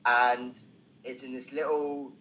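A man's voice: mid-range voice, level tone, slow, bright, forceful tone, slight background noise, rough recording. A man speaking as a podcast guest, a stand-up comedian telling anecdotes conversationally with self-deprecating, wry asides.

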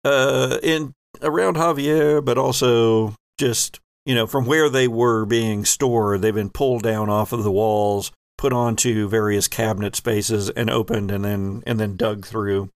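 Male game master, deliberate and slow, gravelly fry, very dry close sound. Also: on the low side, fairly even intonation, no echo. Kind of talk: improvised tabletop game narration.

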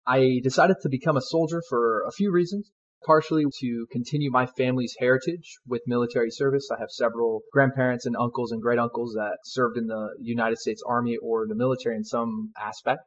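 A man's voice: mid-range voice, fairly even intonation, a little fast. A man in his twenties, a soldier guest answering interview questions in an earnest, polite way. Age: 20s. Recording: dull lo-fi sound.

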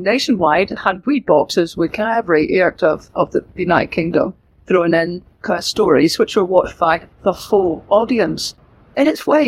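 A middle-aged woman with a Northern Irish accent, an author giving a lively, emphatic book talk to a live audience in a library. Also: medium pitch, no echo, poor audio. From Northern Ireland. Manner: lively, emphatic and conversational, with asides. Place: library event room.

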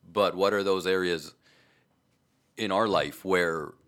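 A man who grew up speaking Spanish, co-hosting a religious conversation in a reflective, halting way, rephrasing as he goes. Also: deep voice, fairly even intonation, a bit bright. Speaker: co-host.

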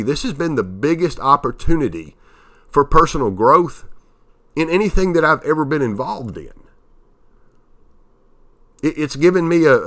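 A man in his fifties from Tennessee hosts a motivational podcast in a bright, ringing voice. His nose is a little stuffed up from allergies. He stops and starts often, filling the gaps with "uh" and repeated words. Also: medium pitch. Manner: halting, with many fillers and restarts. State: nasal from allergies. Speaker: podcast host.

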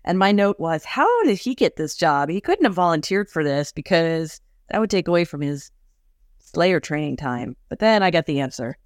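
A woman's voice: low-pitched, lively intonation, moderate pace, no room sound, studio quality. A woman co-hosting a casual podcast chat, talkative, laughing often and quoting herself in little asides. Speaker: podcast co-host.

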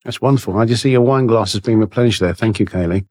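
A man's voice: fairly low pitch, quick pace, darkish tone. A man hosting a casual interview, polite and encouraging. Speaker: host.

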